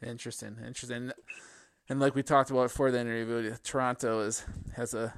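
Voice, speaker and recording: A man's voice: mid-range voice, level tone, fast, smooth, no echo, clean studio sound. A man hosting a podcast interview, asking friendly questions with many fillers. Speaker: podcast host.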